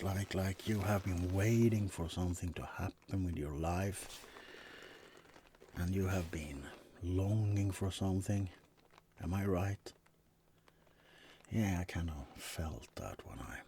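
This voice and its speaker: Man playing a slow, deep-voiced laid-back vampire. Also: gravelly, dark tone, slight background noise, full character voice. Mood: soft, coaxing. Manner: hesitant, rambling, intimate, drifting into whispers. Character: laid-back centuries-old male vampire, wry, casually charming roommate. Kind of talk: ASMR roleplay monologue addressed to the listener.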